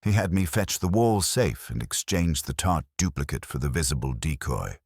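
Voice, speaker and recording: A man with an extremely deep voice reads a scripted detective story in the first person. His pitch moves in a lively way while the phrasing stays wry. The sound is dry and close. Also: moderate pace, breathy edge, no echo. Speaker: audiobook narrator.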